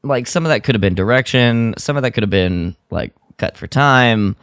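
A man co-hosting a podcast in casual review chat, halting as he thinks aloud and gives his opinions. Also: mid-range voice, a bit bright, no echo, studio quality. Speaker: podcast co-host. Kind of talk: casual review chat about a radio drama.

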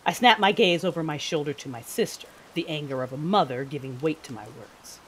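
A woman's voice: low-pitched, brisk, a little hiss or hum, close to an everyday voice, rough recording. A woman playing an intense, battle-hardened Sith mother who narrates her own story theatrically in an audio drama. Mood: brooding, tense. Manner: brooding, theatrical, emphatic outbursts. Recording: music bed with rain sounds behind.

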